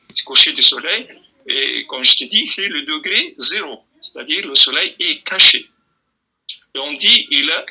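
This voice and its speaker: A man explaining a religious question in a relaxed, hesitant way, with many restarts and fillers, sometimes quoting scripture. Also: a little high, slightly rough, low-quality recording. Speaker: imam answering a question.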